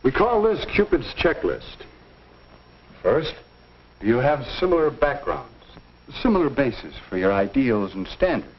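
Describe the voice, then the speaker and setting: A genial, avuncular minister gives earnest counsel in the manner of a 1950s instructional film. His mid-pitched voice rises and falls expressively, with measured, folksy phrasing. The soundtrack is dull and dated. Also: slightly rough, full character voice. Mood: earnest, advising.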